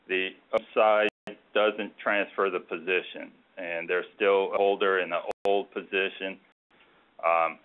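A man with a fairly low voice leads a webinar over a narrowband phone connection. He explains things informally, in a halting delivery with many hesitations. The sound is thin and tinny. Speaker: presenter on an HR payroll conference call. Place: conference call by phone.

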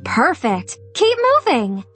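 An actor voicing an upbeat ten-year-old boy leader who guides young players through a children's game with clear encouragement. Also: mid-range voice, big pitch swings, slow. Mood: excited, encouraging. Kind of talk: children's educational game narration. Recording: music under the voice. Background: game music.

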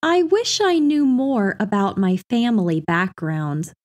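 A woman reading everyday practice phrases for an English lesson in a clear, carefully enunciated scripted delivery. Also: mid-range voice, animated, measured pace, darkish tone.

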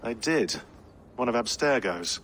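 A man's voice: mid-range voice, expressive, a little slow, fairly bright tone, slight background noise. An actor playing a dry, pedantic, wry modern man in a video game, understated in his delivery. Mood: dry, matter-of-fact. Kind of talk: naturalistic video game acting.

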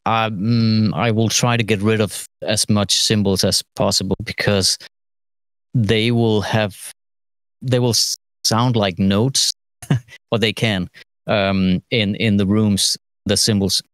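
A middle-aged man thinking aloud through an informal studio tutorial, halting and musing, with trailing phrases. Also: on the low side, fairly even intonation, no echo. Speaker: mixing engineer giving a lesson. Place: recording studio, seated at desk.

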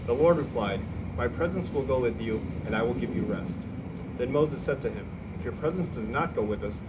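Man reading scripture aloud, noisy recording. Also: mid-range voice. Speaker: scripture reader. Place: outdoors in front of a garage. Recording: steady outdoor ambient noise.

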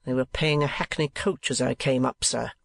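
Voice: mid-range voice, steady tone, moderate pace, creaky, dry recording. Performer acting cold, sarcastic old miserly uncle. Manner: growling, curt, sneering.

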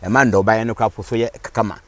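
A man's voice: fairly low pitch, crowd noise. A middle-aged Ghanaian man who owns a ranch, talking about his farm in a casual outdoor interview and gesturing as he speaks. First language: Twi. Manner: fast, animated, gestures with his hands while talking. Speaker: ranch owner. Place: open farmland outdoors.

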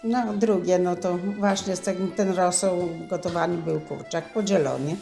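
Gravelly mellow old lady chatting about the past, folksy, a bit hesitant. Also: fairly low pitch, steady tone, moderate pace, faint music behind. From rural Podlasie, Poland. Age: over 60. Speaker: senior sharing memories. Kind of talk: casual reminiscence.

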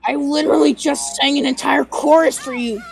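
A woman's voice: sits high, steady tone, a little fast, vocal fry, crowd noise, low-quality recording. A woman voicing an unhinged male cartoon mad scientist villain, whiny, rambling and foul-mouthed, in an improvised fandub. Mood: irritated. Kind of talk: improvised comedic video game fandub. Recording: game music behind.

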